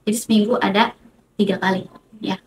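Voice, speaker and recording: A woman's voice: medium pitch, steady tone, fairly quick. A woman with an Indonesian accent tells personal stories as a teacher guest in a casual interview, reflective and repeating phrases for emphasis. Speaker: mathematics teacher, podcast guest. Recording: clear background noise, room ambience.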